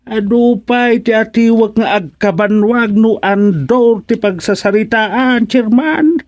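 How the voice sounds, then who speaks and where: A man's voice: sits high, measured pace, dry recording. A man playing a deferential, hesitant villager in an Ilocano radio comedy serial. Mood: chatty, amused.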